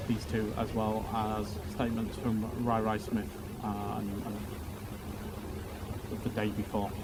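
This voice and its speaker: A man testifying as a witness in a roleplay court, talking formally and haltingly, often repeating words. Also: on the low side, background noise.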